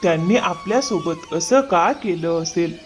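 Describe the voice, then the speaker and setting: A man's voice: a little high, lively intonation, unhurried, a little hiss or hum. A man voicing an honest, dutiful younger brother from a village, earnest and plaintive, in a cartoon moral story. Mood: hurt, puzzled.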